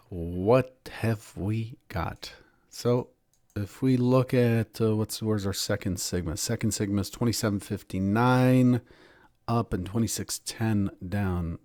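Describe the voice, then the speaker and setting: A man, a professional trader and show host, giving daily market analysis in a hoarse voice, clearing his throat often. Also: lowish voice, no room sound, studio quality. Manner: steady analytical talk with frequent throat clearing.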